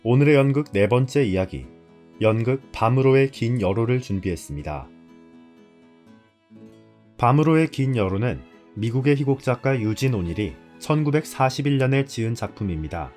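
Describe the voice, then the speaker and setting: A man's voice: mid-range voice, lively intonation, moderate pace, a little mellow, faint music behind. A man hosting and reading a scripted narration. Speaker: host.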